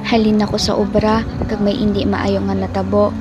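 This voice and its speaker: A woman with a Filipino accent playing an earnest, soft-hearted young working woman in an amateur radio drama. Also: mid-range voice, level tone, moderate pace, muffled recording, close to an everyday voice. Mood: downcast. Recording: music bed behind the voice.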